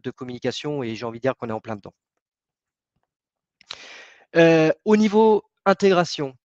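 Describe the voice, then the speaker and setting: A man's voice: on the high side, no echo, highs cut off. A man giving a product presentation in a webinar, hesitant, with many fillers and restarts.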